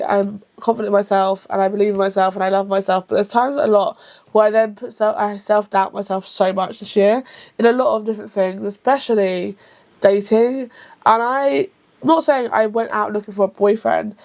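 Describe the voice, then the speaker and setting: A woman's voice: mid-range voice, fairly even intonation, a little mellow. A woman of about thirty from England. She talks casually to the camera as a book vlogger, rambling and often correcting herself, and sometimes hurries. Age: around thirty. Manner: chatty and rambling, with frequent self-corrections; rushed at times.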